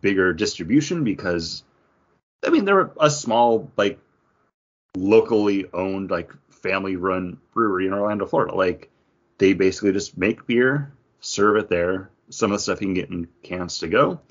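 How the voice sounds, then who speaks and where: A man with a fairly low voice talks as a podcast co-host. His delivery is casual and conversational, wandering a little as he goes. The recording is slightly dull and lo-fi. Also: expressive, normal speed. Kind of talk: casual chat, craft beer review. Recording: dull, lo-fi audio.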